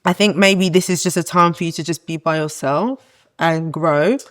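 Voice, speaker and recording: A young woman with a low voice she calls masculine, a guest giving earnest, encouraging advice in a casual podcast chat. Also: moderate pace.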